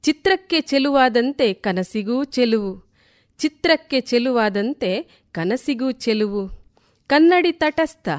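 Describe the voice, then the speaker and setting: A woman reciting poetry in a deliberate way, repeating lines for emphasis. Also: fairly high pitch, expressive. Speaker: poet reciting on a radio programme.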